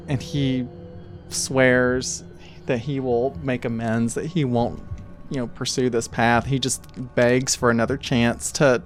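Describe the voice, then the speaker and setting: A man improvising narration as the game master of a tabletop role-playing game, haltingly, as if thinking aloud. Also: mid-range voice. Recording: music under the voice. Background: background music.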